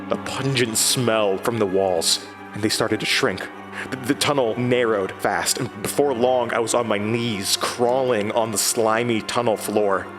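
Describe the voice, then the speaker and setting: An actor playing a frightened, introspective man narrating his horror ordeal in a halting, brooding way. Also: lowish voice, natural voice. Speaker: narrator and lead character. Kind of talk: first-person horror audio drama narration. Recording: music under the voice. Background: prominent music.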